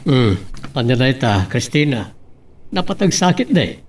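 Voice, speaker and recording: A man's voice: mid-range voice, sing-song, unhurried. A man playing a middle-aged, plain-spoken father in a radio-style drama, with an Ilocano accent. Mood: friendly, approving.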